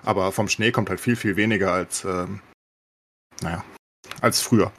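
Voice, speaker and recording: A man's voice: deep voice, steady tone, normal speed, fairly bright tone, husky. A man chatting casually on a podcast in colloquial language, with sardonic understatement. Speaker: podcast host.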